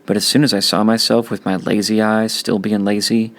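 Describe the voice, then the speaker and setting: A man's voice: fairly low pitch, little pitch movement, brisk. A man reading a first-person novel aloud as a teacher would, in audiobook narration.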